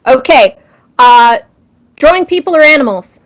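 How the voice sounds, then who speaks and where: Female interviewer, slightly high voice, casual question-and-answer delivery. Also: lively intonation, moderate pace, poor audio. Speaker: interviewer, host.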